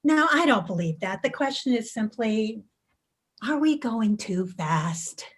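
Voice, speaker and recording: Suburban housewife in a satirical play, female actor: polite, guarded, unhurried delivery, lightly high voice. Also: animated, husky. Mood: hedging. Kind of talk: staged reading of a satirical play. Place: video call.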